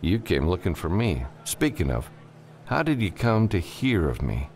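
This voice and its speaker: A man voicing a gruff, level-headed freelance pilot in an audiobook, casual and dry. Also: deep voice, sing-song, moderate pace, darkish tone, a little hiss or hum, pitched above the performer's own voice. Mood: cool, probing.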